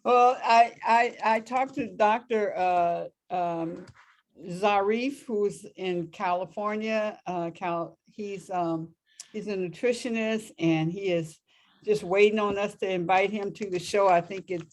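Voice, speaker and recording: A woman co-host telling a story in casual chat, halting often and laughing as she goes. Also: medium pitch, darkish tone.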